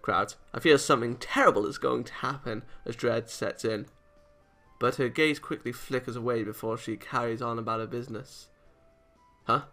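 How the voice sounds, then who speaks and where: Male let's play host, bright, forceful voice, animated reactions while narrating a visual novel, music underneath. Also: medium pitch, normal speed. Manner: animated, exasperated outbursts.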